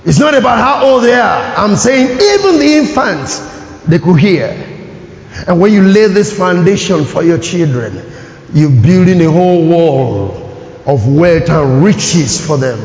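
Nigerian man preaching a sermon, high-pitched voice, animated and calling out to the congregation, dull lo-fi recording. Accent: Nigerian English. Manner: animated preaching, calls for audience response. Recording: echoey hall, dull lo-fi sound.

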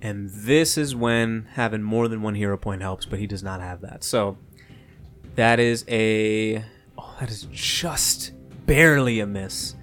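A man's voice: fairly low pitch, a little slow, a bit bright, soft background music. Playful man running a tabletop game. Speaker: game master. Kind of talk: casual tabletop game narration.

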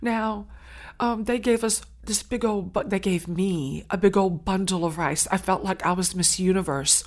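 A woman from Saint Helena Island whose first language is Gullah, telling her story earnestly as an interview guest. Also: medium pitch. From Saint Helena Island, South Carolina. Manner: earnest, heartfelt, with frequent pauses and restarts.

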